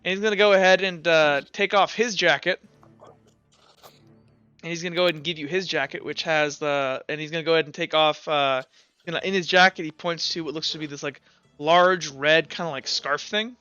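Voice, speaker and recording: A man narrating a casual improvised tabletop roleplay as game master, with halting, stammering restarts. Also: a little high, fairly even intonation, sharp tone, smooth, dry recording, lo-fi sound, faint music behind.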